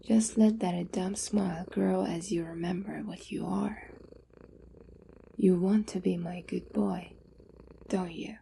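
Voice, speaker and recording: A woman performs a possessive female owner in an ASMR roleplay, coaxing and teasing a captive pet. Her voice is very dark and mellow, with heavy creak, and often drops toward a whisper. She takes her time, sounding condescending and doting at once. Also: fairly low pitch, fairly even intonation, takes it slowly, slight background noise, exaggerated voice. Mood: doting, domineering.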